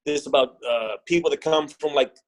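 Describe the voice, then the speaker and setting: A man's voice: on the high side, normal speed. A man from Puerto Rico, a wrestler guest on a podcast, chatting casually and often repeating words as he thinks.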